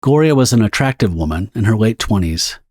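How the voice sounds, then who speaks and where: A man reading scripted, dramatic story narration for an audio drama in a husky voice. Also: lowish voice, expressive, a little fast, darkish tone, dry recording, clean studio sound.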